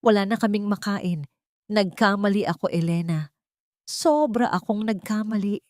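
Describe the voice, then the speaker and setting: A woman's voice: mid-range voice, normal speed, a little mellow, no room sound, studio quality, pitched above the performer's own voice, natural voice. A woman narrator voicing a remorseful, desperate man who pleads for mercy in an audio storybook drama. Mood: pleading, remorseful.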